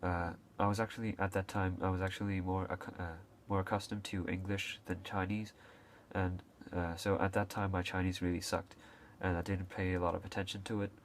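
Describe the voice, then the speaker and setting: A man's voice: deep voice, little pitch movement, a little fast, a little hiss or hum. A young man from Hong Kong talking casually to camera, with frequent fillers, restarts and a few coughs. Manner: hesitant, many fillers and restarts, occasional coughs. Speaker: video creator. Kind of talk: casual unscripted talk to camera.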